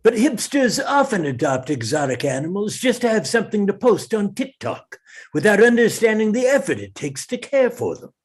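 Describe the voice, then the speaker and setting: A man's voice: sits high, sing-song, normal speed, husky, exaggerated voice. A man playing an earnest talking animal who solemnly interprets and tells stories at a comedy table read.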